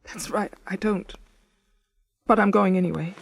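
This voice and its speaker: A woman's voice: medium pitch, fairly even intonation, measured pace, gravelly, dry recording, studio quality. An actress in a radio drama playing a conflicted, principled married woman of thirty-eight, intimate and emotionally charged. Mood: torn. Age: 30s. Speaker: lead actress and narrator. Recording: 1980s radio drama, music behind.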